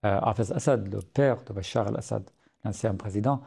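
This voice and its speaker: Syrian-born guy w/ arabic accent, low gravelly voice, kinda husky, lots of euh and restarts. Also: moderate pace, dark tone. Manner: earnest, frequent hesitations and restarts. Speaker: guest author. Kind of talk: podcast interview.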